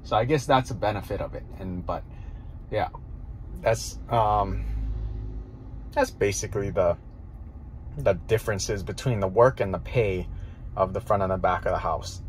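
A man's voice: fairly low pitch, unhurried, long pauses, slight background noise, poor audio. A man in his thirties, a restaurant owner, talking casually in a parked car at night into a phone camera, thinking aloud with many fillers. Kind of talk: casual monologue. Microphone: phone camera held close.

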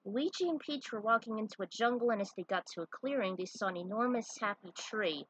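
A woman with a husky voice narrating a story and dropping in casual asides. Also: medium pitch, fairly quick, dry recording, low-quality recording. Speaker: fan fiction writer reading their own story.

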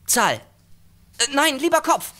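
A man's voice: extremely high pitch, big pitch swings, a little slow, background noise, exaggerated voice. An actor playing a jumpy male glaciologist who mutters to himself in an audio drama. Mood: flustered. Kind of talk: German audio drama acting.

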